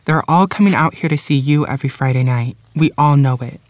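A woman's voice: sits low, brisk, no echo, natural voice. A woman playing a pushy, ambitious bandmate in a dramatic script reading. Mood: insistent. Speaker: actress at a screenplay table read.

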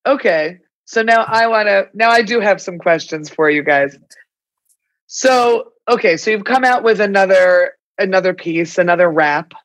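A woman's voice: mid-range voice, normal speed, low-quality recording. A woman with a New York accent hosting a podcast, asking wordy, conversational questions that she rephrases as she goes. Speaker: podcast host, interviewer. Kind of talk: conversational interview.

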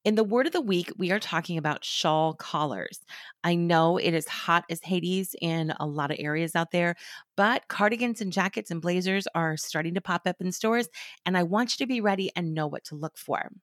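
A woman's voice: fairly low pitch, normal speed, no echo, studio quality. A middle-aged woman hosting a style podcast in a friendly, chatty way, her voice a little scratchy from a recent cold. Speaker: podcast host, stylist. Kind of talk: scripted podcast talk.

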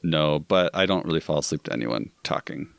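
A man's voice: rumbling low, lively intonation, a little fast, creaky, dry recording, studio quality. A man guesting on a casual podcast, easygoing and enthusiastic as he tells stories.

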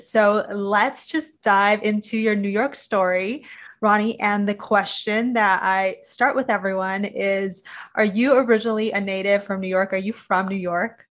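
A woman's voice: medium pitch, a bit bright. A woman hosting a podcast, asking questions in an upbeat, encouraging way with frequent affirmations. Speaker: podcast host. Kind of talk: ad read and interview hosting.

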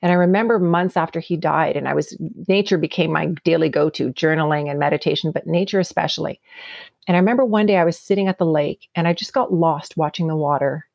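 A woman from Canada with a gravelly voice, a guest sharing a personal story in an earnest, heartfelt way. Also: deep voice, quick pace, a little mellow, no echo, lo-fi sound. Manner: earnest, heartfelt, often repeats words.

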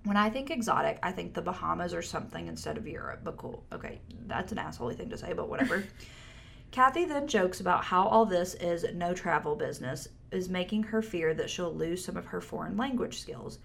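Co-host, female, low and gravelly, wry reader of posts. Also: animated, brisk, a bit bright, a little hiss or hum. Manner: wry, reads with side comments. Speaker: podcast co-host. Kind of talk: casual chat, reading posts aloud.

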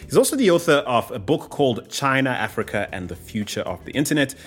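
A man's voice: medium pitch, fast, husky, faint music behind. Upbeat male podcast host. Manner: lively, reads guest bios at length. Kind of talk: podcast interview.

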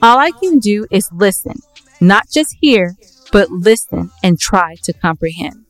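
A woman's voice: mid-range voice, very animated, measured pace, no room sound, soft background music. A woman co-hosting a podcast in a casual, playful, teasing way. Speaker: podcast co-host. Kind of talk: casual banter between co-hosts.

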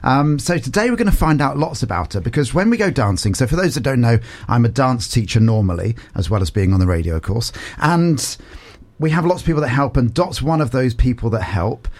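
Lively chatty male radio host. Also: medium pitch, a little fast. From Portsmouth, England. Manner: upbeat, chatty, rambling. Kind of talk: local radio chat.

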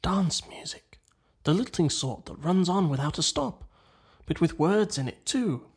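A man's voice: fairly high pitch, expressive, measured pace. Man playing a dreamy, languid water rat. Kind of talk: storybook character dialogue.

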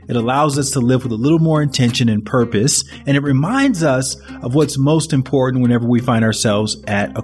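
An earnest man hosting a podcast, speaking in a reflective, unhurried way. His voice sits at an ordinary pitch, with a faint guitar under it. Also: a little mellow. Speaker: podcast host. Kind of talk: podcast intro and interview.

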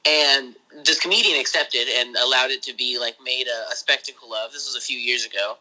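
A man, hosting a podcast, talking casually over a phone call in a rambling, excited way with some swearing. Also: a little fast. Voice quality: high voice. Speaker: podcast host.

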